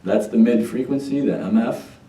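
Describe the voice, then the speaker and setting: A man's voice: medium pitch, steady tone, moderate pace, dark tone, roomy sound, distant mic. A man giving patient, step-by-step hands-on training on a church mixing desk, testing a mic as he goes. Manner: patient, explains step by step, tests the mic with "check, one, two". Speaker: sound board trainer. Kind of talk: hands-on technical instruction. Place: church sound booth, indoors.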